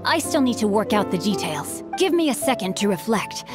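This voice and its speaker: A woman voicing an earnest, chivalrous young swordsman in an animated game, speaking in a formal, courteous, bookish manner. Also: medium pitch, a little fast, lightly acted. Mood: calm, sincere. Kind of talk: animated game hero dialogue. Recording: light music behind the voice.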